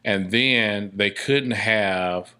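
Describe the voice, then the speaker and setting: A man's voice: lowish voice, very slow, bright, forceful tone, clear, resonant tone. A man speaking casually as an interview guest, emphatic and often repeating his phrases. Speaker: podcast guest, filmmaker.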